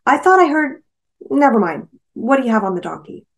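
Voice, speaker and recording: A woman voicing a guarded, brisk female animal rescuer in a novel reading. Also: a little high, sing-song, voice pushed up.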